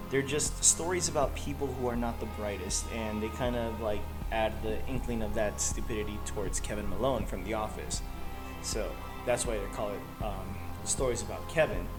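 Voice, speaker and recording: A man's voice: fairly low pitch, moderate pace. A man co-hosting a horror show, thinking aloud in slangy, casual conversation. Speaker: co-host commenting on horror stories. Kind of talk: casual chat. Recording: clear music bed behind the voice. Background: background music.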